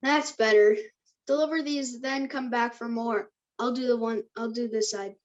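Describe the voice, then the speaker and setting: A woman's voice: fairly high pitch, fairly even intonation, moderate pace, dull audio, natural voice. A woman playing a confident, enterprising teenage boy in a screenplay table read. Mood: businesslike, easygoing.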